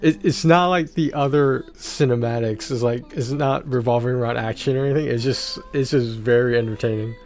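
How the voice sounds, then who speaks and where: A man chatting casually on a game livestream, halting and repeating words as he goes. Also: medium pitch, a little mellow. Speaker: game streamer. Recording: music behind the voice.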